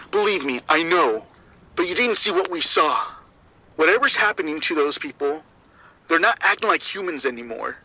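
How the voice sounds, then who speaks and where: An actor playing a shaken security officer giving urgent, grim reports over radio in a horror audio drama. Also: male voice, a little high, pushed and bright, heavily acted. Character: shaken male arena security officer reporting a violent outbreak. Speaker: security supervisor. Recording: muffled, band-limited radio transmission.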